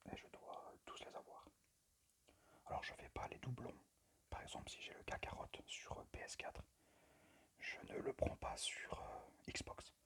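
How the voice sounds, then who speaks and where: A man speaking in a breathy, unvoiced whisper into a phone microphone, presenting informally in ASMR style with a hesitant, unpractised manner. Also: medium pitch. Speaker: amateur ASMR creator and game collector. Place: at a desk indoors.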